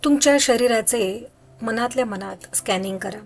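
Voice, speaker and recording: A woman leading a guided meditation in a soothing, instructional way, leaving pauses between phrases. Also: fairly high pitch. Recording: background music under voice. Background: music.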